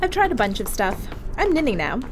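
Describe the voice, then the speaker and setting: A woman playing a lively, crude crewwoman. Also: on the high side, a little fast, background noise. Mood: casual. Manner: casual, swearing, laughing. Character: chatty, crude young crewwoman on a ship. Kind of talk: audio drama acting.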